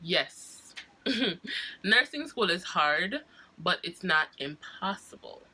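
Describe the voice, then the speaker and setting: A woman's voice: lowish voice, very animated, takes it slowly, bright, forceful tone, slightly rough, low-quality recording. Stuffy-nosed woman vlogging. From Jamaica. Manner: chatty, laughs often, hesitant restarts. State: stuffy from a cold. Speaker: nursing student vlogger. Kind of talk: casual chat to camera.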